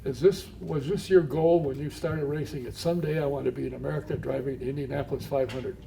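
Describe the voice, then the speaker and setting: A man's voice: medium pitch, fairly even intonation, quick pace, gravelly, dark tone. A man hosting a radio show who interviews his guests chattily and chuckles often. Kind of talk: casual interview. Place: pub. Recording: distant mic in a noisy pub.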